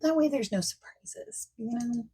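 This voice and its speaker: A woman playing a friendly, eager party planner in a soft-spoken ASMR roleplay, breathy and often near a whisper, chatty and reassuring. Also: fairly high pitch, unhurried, voice pushed up, exaggerated voice.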